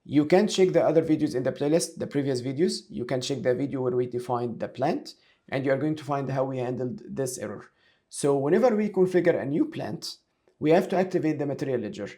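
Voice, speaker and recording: A man giving a step-by-step software tutorial, talking through each action aloud as an instructor. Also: mid-range voice, expressive, a little fast. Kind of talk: screen-share tutorial, explaining step by step.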